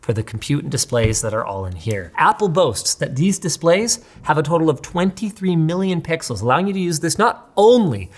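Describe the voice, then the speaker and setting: A man hosting a casual product unboxing, excitable and sarcastic, with a Canadian accent. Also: on the high side, fairly quick, hardly any pauses. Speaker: tech video host.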